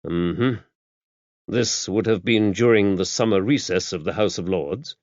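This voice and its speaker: A man voicing a calm, tactful investigator, asking measured, polite questions in an even manner. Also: low-pitched, no echo, dull audio. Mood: politely probing. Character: calm, tactful male investigator, quietly probing and self-possessed.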